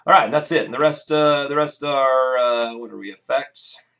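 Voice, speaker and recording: A man with a raspy voice casually walking through his song mix, talking in halting bursts with lots of fillers and restarts. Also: medium pitch, pushed and bright. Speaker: music producer. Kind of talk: casual screen-recorded mixing walkthrough.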